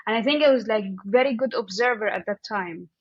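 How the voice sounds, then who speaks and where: A woman from Egypt with an Egyptian accent, speaking casually and haltingly as an interview guest, often saying "like" as she thinks aloud. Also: on the high side, breathy edge, dry recording, muffled recording.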